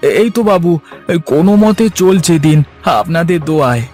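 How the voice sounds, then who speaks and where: A man's voice: fairly high pitch, lively intonation, brisk, darkish tone, faint music behind, lightly acted. A man playing a poor, humble village father in a cartoon fairy tale, speaking earnestly with a plaintive edge. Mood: nervous pleading.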